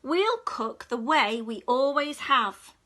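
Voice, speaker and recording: A woman's voice: high voice, lively intonation, takes it slowly, bright, forceful tone, heavily acted. A woman voicing a bossy, scornful cat from a picture book, with a snappy, scolding manner. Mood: snapping.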